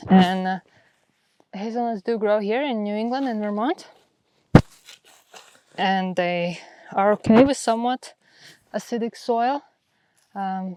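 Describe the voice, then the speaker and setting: A woman's voice: mid-range voice, a little mellow, clean tone. A woman from Latvia with a Latvian accent, talking casually and explaining things as a homesteading vlogger. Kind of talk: casual explanatory vlog talk.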